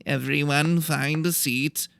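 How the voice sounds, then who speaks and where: A man's voice: on the high side, fairly even intonation, slow, creaky, a bit bright, a little hiss or hum, pitched above the performer's own voice, exaggerated voice. A man voicing a small, wiry, pedantic professor with a nasal voice, lecturing in an audiobook. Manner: lecturing, questioning. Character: small wiry male professor, long-winded, pedantic, dry. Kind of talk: audiobook character voice.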